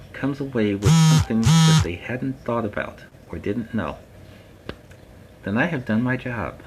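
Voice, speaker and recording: A man's voice: mid-range voice, a little hiss or hum, close to an everyday voice, rough recording. A man playing a friendly superhero storytime host, talking casually and earnestly to viewers in a plainspoken, emphatic way. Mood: warm, sincere. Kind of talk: casual instructive talk to viewers.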